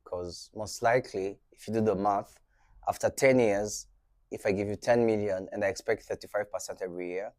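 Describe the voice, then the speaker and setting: A young man from Burundi whose first language is French, explaining venture capital in conversation and acting out dialogue while checking in with "right?". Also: fairly low pitch. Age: young adult. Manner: animated, acts out dialogue with many "right?" checks.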